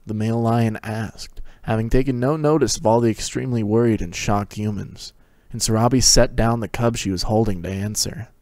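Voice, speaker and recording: Low-voiced man narrating a story. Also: fairly even intonation, normal speed, few pauses, a little mellow, dry recording. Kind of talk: dramatic reading of fan fiction.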